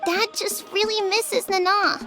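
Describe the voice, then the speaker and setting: A woman voicing a perky, childlike video game character with an expressive delivery. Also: very high voice, unhurried, over music. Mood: sheepish. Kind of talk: video game dialogue acting.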